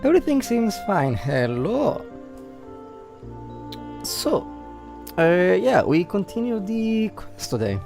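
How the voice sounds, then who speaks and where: A man's voice: sits high, sing-song, takes it slowly, vocal fry, pauses often, over music. A man with an Italian accent, a native Italian speaker livestreaming casual game commentary, thinking aloud and searching for words. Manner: hesitant, searching for words, reflective.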